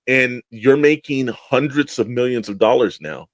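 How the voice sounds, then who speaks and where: A man's voice: medium pitch, expressive, unhurried, no room sound. A man co-hosting a podcast in casual discussion, rambling and opinionated, with an emphatic way of talking. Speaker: podcast co-host.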